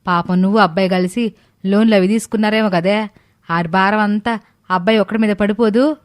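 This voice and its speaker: A woman playing a gluttonous, sly older mother-in-law in an animated story, with rustic, drawn-out exclamations. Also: medium pitch, natural voice. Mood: feigned concern. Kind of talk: animated moral story dialogue.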